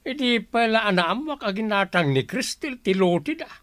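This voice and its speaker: A man's voice: high voice, expressive, normal speed, fairly bright tone, no echo, clean studio sound. A man playing a grouchy older father with an Ilocano accent in a radio drama. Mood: grumbling, defensive.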